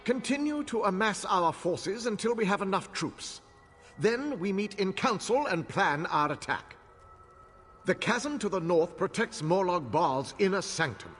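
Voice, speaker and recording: Proud old elf warlord for a game, high voice that swings a lot, formal and commanding. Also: male voice, animated, a little slow, a bit bright. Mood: resolute. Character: ancient male elf war leader, imperious and proud. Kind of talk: video game voice acting. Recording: long hall reverb, music behind.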